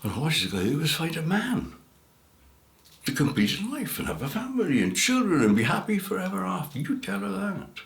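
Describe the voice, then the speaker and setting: A man's voice: high voice, big pitch swings, a little fast, creaky, echoey room, pitched above the performer's own voice, full character voice. A man voicing God in a folk tale as a blunt, chatty, matter-of-fact figure handing out instructions. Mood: blunt, instructive. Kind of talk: storybook folk tale character voice.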